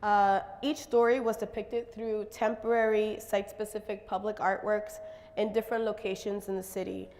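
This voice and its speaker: A woman artist presenting her work on a stage, her voice slightly raspy, talking with many pauses and fillers. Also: medium pitch, level tone, darkish tone, big-room sound, slight background noise. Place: stage in a large hall.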